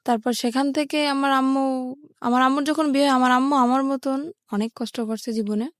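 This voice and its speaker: Female guest from southern Bangladesh, higher-pitched, plain colloquial storytelling, close and dry recording. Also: steady tone, normal speed, dry recording. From Patuakhali, southern Bangladesh. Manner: plain, colloquial, step-by-step telling. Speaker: guest telling her life story. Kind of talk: personal storytelling.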